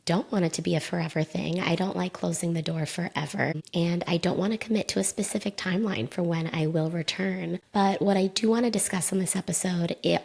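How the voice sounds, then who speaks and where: A woman in her thirties hosting a casual solo podcast, talking candidly and conversationally, her voice slightly raspy and mildly hoarse from a sore throat. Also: fairly low pitch, a little mellow. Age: 30s. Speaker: podcast host.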